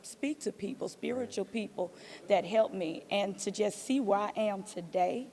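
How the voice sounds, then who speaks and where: Earnest woman with a creaky voice. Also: medium pitch, moderate pace, darkish tone. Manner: earnest, encouraging. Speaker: stage play actor being interviewed. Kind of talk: reflective interview answers.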